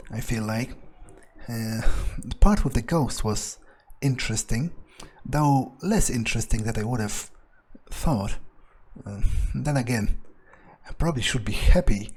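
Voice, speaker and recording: A man's voice: mid-range voice, darkish tone, breathy edge. A man roleplaying a game character in an improvised monologue, musing hesitantly to himself and swearing freely. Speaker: gamer roleplaying his character.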